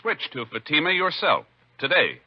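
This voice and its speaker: A man's voice: fairly high pitch, wildly swooping pitch, moderate pace. A man working as a radio announcer, reading formal, emphatic scripted copy in 1950s style. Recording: dull 1950s radio recording, loud music.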